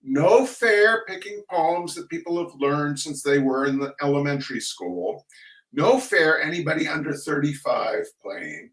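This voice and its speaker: Slow-reading man in an echoey room. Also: a little high, lively intonation, fairly bright tone. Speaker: reader of humorous essays. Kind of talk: dramatic reading of comic essays aloud. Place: echoey room, recorded at a distance from the mic. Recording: echoey room, distant microphone.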